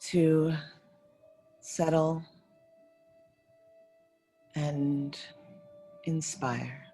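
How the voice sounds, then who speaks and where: Low voiced lady doing guided meditation, soothing n unhurried, lots of pauses, soft music in the back. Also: steady tone, breathy edge. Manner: soothing, unhurried, instructing in short phrases with pauses. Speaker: yoga nidra meditation teacher. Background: faint music.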